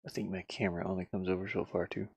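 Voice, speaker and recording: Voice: low voice, flat delivery, rapid-fire, creaky, darkish tone, breathy edge, dry recording. A person giving casual, unscripted commentary while working on their own game, often muttering to themselves as they go. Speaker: indie game developer showing own game in progress.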